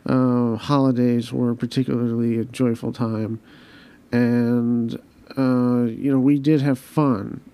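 A man hosting a podcast, talking personally and reflectively, hesitant and halting with frequent restarts. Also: medium pitch, level tone, warm tone, smooth. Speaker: podcast host. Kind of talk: personal conversation.

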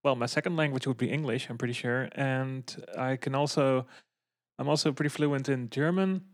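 Halting man. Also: mid-range voice. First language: Dutch. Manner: halting, lots of uh and like. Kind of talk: casual chat.

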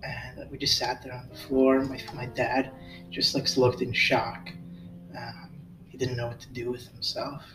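A man in his twenties tells a personal story on a podcast, halting and full of fillers, in a flat, even voice. He sits back from the mic in a roomy space. Music plays clearly behind him. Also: medium pitch, recorded from a distance. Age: 20s. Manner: halting, many fillers and restarts. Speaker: podcast host. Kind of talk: personal storytelling and casual chat.

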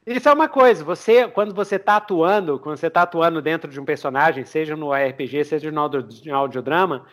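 A Brazilian man chatting casually as a podcast host, telling stories in an animated way. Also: on the high side, quick pace. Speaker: podcast host, game master.

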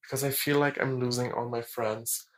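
A nineteen-year-old woman from Germany with a German accent, calling in for advice in a hesitant, halting, earnest way. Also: rumbling low, fairly even intonation. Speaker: caller asking for advice. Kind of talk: personal voicemail-style account.

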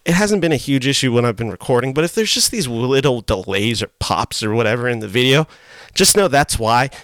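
A young man with a hoarse voice hosting a solo podcast, chatting casually and rambling with lots of fillers and self-corrections. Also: medium pitch, expressive, a little fast, fairly bright tone, no room sound, studio quality. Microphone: desk mic with iPhone as webcam.

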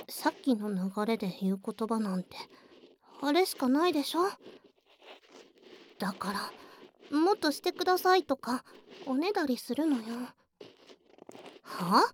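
A woman's voice: high-pitched, a little hiss or hum. A woman voicing a bossy, teasing tsundere childhood friend working as a cafe waitress in an intimate ASMR voice drama, often slipping into whispers. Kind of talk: Japanese ASMR situation voice drama.

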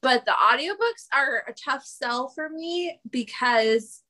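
A woman's voice: high voice, lively intonation, slow pace, sharp tone. A woman guest on a podcast, chatting casually in a candid way. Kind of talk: casual chat.

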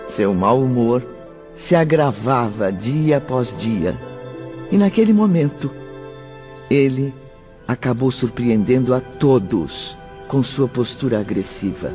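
A slightly muffled recording with clear music behind a man narrating a radio drama. He takes his time over the scripted lines, reading as a storyteller. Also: medium pitch, lively intonation, slow pace, a little mellow. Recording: muffled band-limited audio with music behind.

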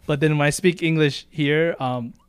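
A man's voice: a little high, steady tone, moderate pace, clean tone, no echo. A young man co-hosting a podcast, chatting casually in a rambling, self-deprecating way. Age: young adult. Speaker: podcast co-host. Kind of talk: casual chat.

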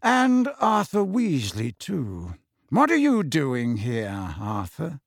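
A man voicing a cold, sneering aristocratic wizard with a slow, cool drawl in a dry audiobook recording. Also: medium pitch, huge pitch swings, no room sound, heavily acted. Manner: slow, cool drawl with soft trailing endings.

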